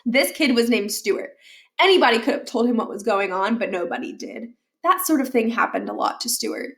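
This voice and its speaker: A woman with a slightly high voice reads a children's novel aloud. Her delivery is expressive storytelling, made for young listeners. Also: normal speed, vocal fry. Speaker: storybook reader.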